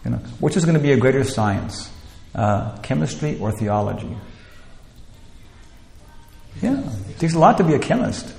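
Gravelly man lecturing in a roomy hall. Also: mid-range voice, very animated, moderate pace, pauses often, darkish tone. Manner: rambling, many "you know" fillers and restarts. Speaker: teacher leading a scripture class. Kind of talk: informal spiritual lecture. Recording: roomy hall with long echo, faint ambience.

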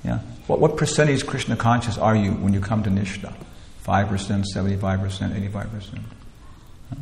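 Gravelly man lecturing in a roomy hall. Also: fairly low pitch, fairly even intonation, brisk, a little hiss or hum. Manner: rambling, many "you know" fillers and restarts. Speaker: teacher leading a scripture class. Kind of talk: informal spiritual lecture. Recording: roomy hall with long echo, faint ambience.

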